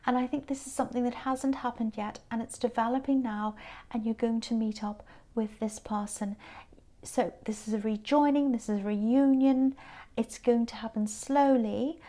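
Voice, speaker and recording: A tired woman, giving a gentle, reassuring tarot reading that sometimes drops to a whisper. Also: on the high side. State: tired, croaky voice. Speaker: tarot reader.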